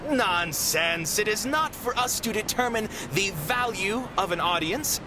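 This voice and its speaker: A man's voice: high voice, animated, measured pace, background noise. A man playing a fussy, proud theater manager in a video game, speaking formally with emphatic indignation. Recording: loud game music behind. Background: game music.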